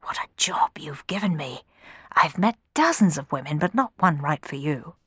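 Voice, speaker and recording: A wry manservant playing at being a grand prince in a storybook, performed by a woman. He moves between conspiratorial asides and lofty pronouncements. The voice is animated and the recording dry. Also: a little high, moderate pace, no echo, voice pushed up. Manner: conspiratorial whisper to his master, lofty in public. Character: wry male servant disguised as a grand prince.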